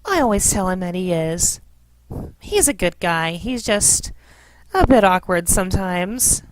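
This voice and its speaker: Woman playing a gentle, measured, polite young lady. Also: lowish voice, expressive, dry recording, poor audio. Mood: fond. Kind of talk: scripted cartoon parody dub.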